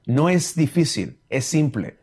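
A man's voice: fairly high pitch, big pitch swings, unhurried, darkish tone, slightly rough. A man hosting a motivational podcast in casual chat, often restarting words and slipping in phrases. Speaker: podcast co-host.

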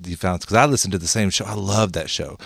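A man's voice: sits low, fast, creaky, a bit bright, slightly rough, no room sound, studio quality. A middle-aged American man chatting informally as an interviewer in a casual conversation. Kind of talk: casual chat.

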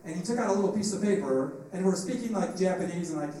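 A man's voice: sits high, expressive, fast, warm tone. A middle-aged man, a comedian and podcast host, speaking casually as he interviews and tells comic anecdotes. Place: convention. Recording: echoey, noisy convention, distant mic.

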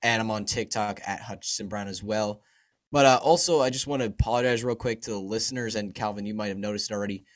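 A young man, hoarse and froggy from a sore throat, chatting eagerly as a podcast guest and repeating himself. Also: lowish voice, steady tone, quick pace. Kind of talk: casual fantasy sports podcast chat.

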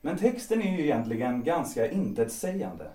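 Actor as moody young composer, swinging intonation, echoey. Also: male voice, medium pitch, big pitch swings, warm tone, close to an everyday voice. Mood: admiring, musing. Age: young adult. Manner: theatrical, moody, romantic. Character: young, proud, restless composer and lover. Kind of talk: period stage-play audio drama. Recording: roomy, distant from the mic.

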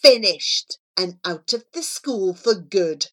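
A woman's voice: high-pitched, very animated, a little slow, fairly bright tone, voice pushed up, heavily acted. A woman voicing a smug, spiteful schoolboy bully with a taunting, sneering manner in a children's story. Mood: gloating, taunting.

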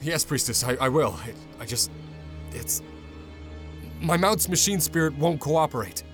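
A man playing an exhausted, distraught knight pilot in a science fiction audio drama, speaking haltingly and with emotion. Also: fairly high pitch, animated, a bit bright, soft background music. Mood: flustered, pleading. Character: exhausted, distraught young knight pilot struggling with his machine.

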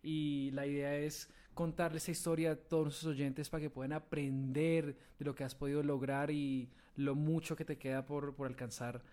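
A man's voice: fairly high pitch, flat delivery, moderate pace, few pauses, darkish tone. Upbeat male podcast host. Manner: upbeat, scripted opening. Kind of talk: podcast interview.

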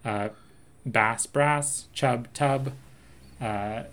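A man's voice: medium pitch, drawn-out words, a bit bright. A man co-hosting a podcast, talking through song lyrics in a thoughtful, analytical way and quoting lines with relish. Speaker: podcast co-host. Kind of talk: casual chat.

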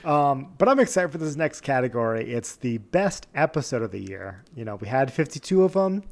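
A man's voice: medium pitch, very animated, a little fast, creaky, a little mellow. A man hosting a podcast in a casual mock awards-ceremony style, playful and mock-formal. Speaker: podcast host presenting joke awards.

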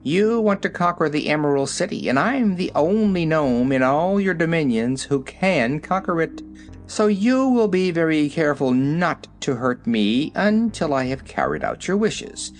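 A man voicing a wise old male gnome general who speaks calmly and lectures his king. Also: a little high, a little slow, soft background music, pitched above the performer's own voice. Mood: smug. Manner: calm, coolly lecturing.

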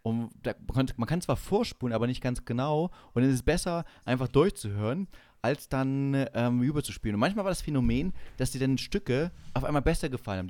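A middle-aged man hosts a podcast in a casual, conversational way, telling anecdotes as he goes. His voice sits at an ordinary male pitch, recorded very dry. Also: darkish tone, dry recording. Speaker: podcast host.

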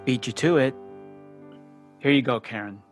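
A woman's voice: rumbling low, normal speed, soft background music. A woman acting in a radio play as a sardonic, competitive grown sister with a dry, terse, needling manner. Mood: dry, satisfied.

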